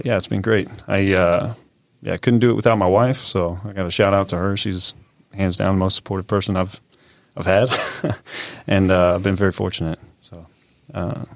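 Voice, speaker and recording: A man's voice: sits low, dry recording. A man in his thirties from California, a soldier talking casually as a podcast guest, easygoing and loose with frequent filler words. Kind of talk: casual interview talk.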